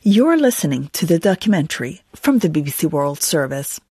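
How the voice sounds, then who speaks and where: A woman hosting a radio interview show, talking lively with her guests and laughing easily. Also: low voice, brisk. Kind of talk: presenting and interviewing. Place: office at a broadcaster.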